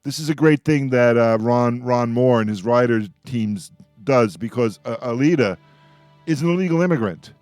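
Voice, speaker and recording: Male roundtable host, average pitch, conversational and halting, repeats himself, faint music bed. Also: expressive, darkish tone, clean tone, no room sound. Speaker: radio discussion host. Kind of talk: casual roundtable discussion.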